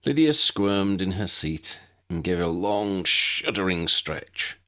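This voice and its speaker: A man's voice: low-pitched, lively intonation, slow, bright, forceful tone. A man reading scripted story narration as an audiobook narrator.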